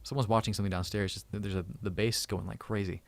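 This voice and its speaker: A man's voice: lowish voice, level tone, quick pace, faint music behind. A man in his thirties hosting a tech channel live stream from home, chatting casually and thankfully with viewers, often restarting and repeating words. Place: home room.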